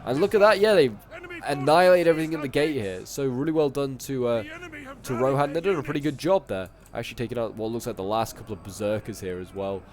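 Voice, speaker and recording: A man with a bit of a cold, chatting casually through strategy-game commentary, rambling along and then bursting out excitedly at big moments. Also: mid-range voice, fast talker. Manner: chatty, with sudden excited outbursts at big plays. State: sniffly, coming down with a cold.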